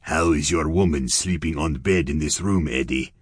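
A man voicing a menacing Russian gangster in broken English with a Russian accent, slow and measured. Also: a bass voice, breathy edge, dry recording, studio quality. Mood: suspicious.

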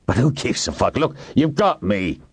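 A man's voice: a bass voice, expressive, normal speed, no room sound, studio quality. Man acting a desperate, scornful ageing male tycoon. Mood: bitter, desperate. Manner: growling, swearing, emphatic.

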